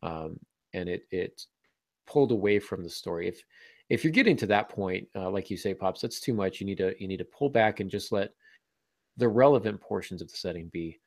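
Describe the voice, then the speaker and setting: A man's voice: deep voice, animated, fairly quick, no room sound. A man hosting a casual panel discussion, explaining his points with examples and a dry, teasing humor. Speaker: podcast host and fiction writing teacher.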